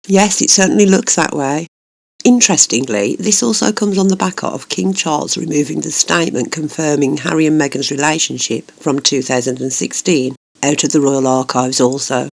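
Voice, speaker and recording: Measured male royal news co-host. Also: on the high side, creaky. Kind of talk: scripted news commentary in dialogue.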